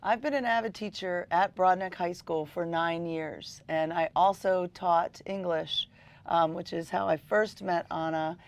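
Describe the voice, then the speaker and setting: A middle-aged woman teacher with a low, gravelly voice. She talks in an interview about her students with an upbeat, enthusiastic manner and many little ums. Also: measured pace. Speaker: high school teacher.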